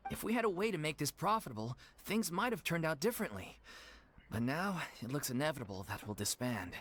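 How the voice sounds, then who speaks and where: A man's voice: on the high side, sing-song, a little fast, a bit bright. An actor playing an earnest, apologetic male leader of an amateur theater troupe in a game cutscene. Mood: rueful. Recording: game audio with faint music.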